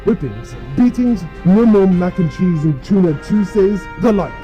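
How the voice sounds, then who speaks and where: A man's voice: high voice, animated, unhurried, warm tone, lo-fi sound, soft background music. A man playing an imperious, vengeful archduke villain in a comic audio drama, pompous and declamatory with menace. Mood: pompous threatening.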